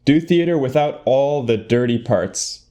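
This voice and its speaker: Naive male corporate sidekick, played by a young woman: very deep voice, earnest, credulous. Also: measured pace, dark tone. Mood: polished, earnest. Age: young adult. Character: eager, naive male corporate co-presenter Tom. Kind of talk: comic radio play, scripted sidekick.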